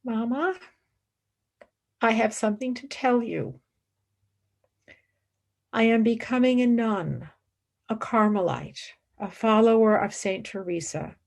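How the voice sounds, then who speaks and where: A woman playing a devout, resolute woman set on becoming a nun, in a radio play with measured, solemn lines. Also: on the high side, gravelly, a little mellow, exaggerated voice. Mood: calm, firm.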